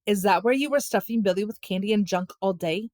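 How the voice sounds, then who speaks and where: A woman's voice: mid-range voice, brisk, darkish tone, dry recording, clean studio sound, natural voice. A woman voicing a stern father, firm and admonishing, in a children's storybook. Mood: exasperated. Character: stern, scolding suburban father. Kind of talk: children's audiobook character voice.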